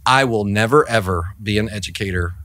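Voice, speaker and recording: A man's voice: lowish voice, a little fast, noisy background. A man from rural East Texas with an East Texas accent, telling stories casually as an interview guest in a folksy, informal way. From rural East Texas, United States. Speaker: interview guest, real estate program director at a university. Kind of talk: casual conversational storytelling.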